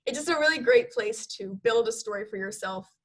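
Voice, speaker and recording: A female student gives a testimonial on a panel. Her voice is fairly high, with a breathy, husky texture. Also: animated, fairly quick. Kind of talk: spoken testimonial. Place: online conference call.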